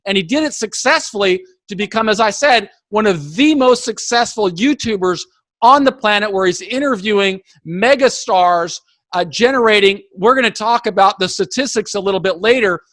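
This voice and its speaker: High-voiced guy hosting, pumped-up motivational recap style, dry close sound on a video call. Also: normal speed, a bit bright, no room sound. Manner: emphatic, motivational, speaks straight to listeners. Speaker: host.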